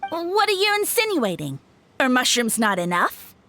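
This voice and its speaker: A woman's voice: high voice, very animated, normal speed, sharp tone, a little hiss or hum, full character voice. A woman voicing a boastful male mushroom spirit who calls himself a savior, grand and evasive in a video game. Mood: huffy. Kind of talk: game voice acting.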